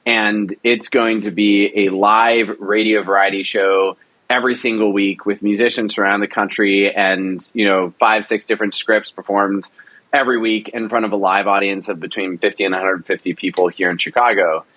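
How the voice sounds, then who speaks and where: Low-voiced man in a dry room. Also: level tone, moderate pace, bright, forceful tone, no room sound, rough recording. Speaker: radio drama producer, interview guest. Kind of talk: casual interview explanation.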